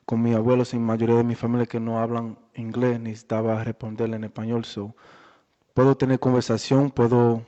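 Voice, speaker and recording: Man, young adult, monotone, mellow, dull lo-fi sound with long reverb. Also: mid-range voice. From Worcester, Massachusetts area. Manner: relaxed, halting, many fillers. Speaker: boxer, interview guest. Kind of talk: casual interview chat. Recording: dull lo-fi audio in a reverberant room.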